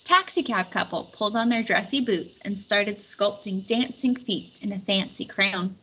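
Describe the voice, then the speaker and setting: A woman reading a children's picture book aloud with expressive storytelling. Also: mid-range voice, normal speed, highs cut off, slight background noise. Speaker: storybook reader.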